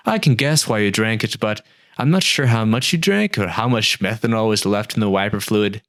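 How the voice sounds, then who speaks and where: A man voicing a calm, wry old talking snake. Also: on the low side, normal speed, no echo, clean studio sound. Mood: matter-of-fact. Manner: matter-of-fact, wry, explaining patiently. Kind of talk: storybook reading.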